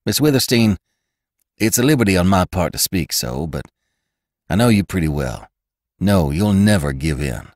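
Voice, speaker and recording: A man's voice: low-pitched, expressive, moderate pace, long pauses, darkish tone, no room sound, clean studio sound, pitched above the performer's own voice. A man voicing a gaunt, earnest ranch rider who speaks in an urgent, emphatic way.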